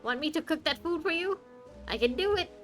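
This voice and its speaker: A woman's voice: very high voice, animated, a little fast. A woman giving casual live gameplay commentary, chatty and playful as she reacts aloud to the game. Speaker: game streamer. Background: game music.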